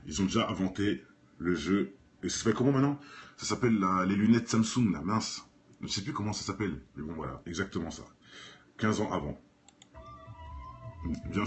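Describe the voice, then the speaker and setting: A man with a low-pitched voice talks over faint music in an off-the-cuff, slangy way. He speaks straight to his viewers, insistently repeating phrases to hammer them home, like an informal online commentator. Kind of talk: casual improvised commentary on cartoons and conspiracy theories.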